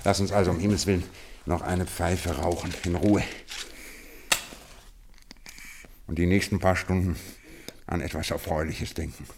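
A man's voice: sits low, fairly even intonation, a little fast. An actor playing a shrewd, commanding male detective in a German radio drama, speaking with theatrical deliberation. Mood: grave, relishing. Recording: noisy radio play recording.

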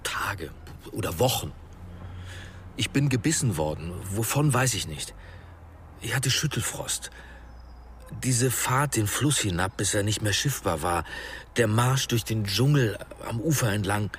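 A man's voice: fairly low pitch, animated, measured pace, pauses often, slight background noise. A man playing a reflective, guarded survivor in a conversational radio drama. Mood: haunted, reflective. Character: reflective, guarded male jungle crash survivor.

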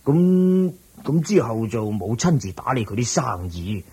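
A man's voice: medium pitch, sing-song, measured pace, warm tone, breathy edge, full character voice. A man playing a humble, eager servant in a Cantonese radio drama, deferential and hesitant, with a Hong Kong accent. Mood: gossipy. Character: humble, eager male servant reporting to his master.

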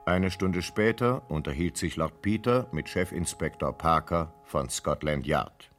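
A composed narrator links scenes of a radio play. His voice is deep and matter-of-fact, with music clearly playing behind it. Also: unhurried, a bit bright. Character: composed third-person storyteller.

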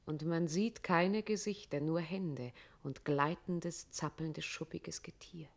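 An actress playing a grave, poetic narrator, reciting literary radio play text in a measured, solemn way. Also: female voice, low-pitched, full character voice. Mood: hushed, vivid. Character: grave, poetic female narrator evoking roads and places.

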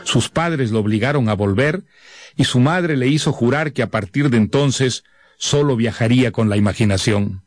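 A man presenting a novel in a scripted, measured, literate narration. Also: medium pitch, no echo. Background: music.

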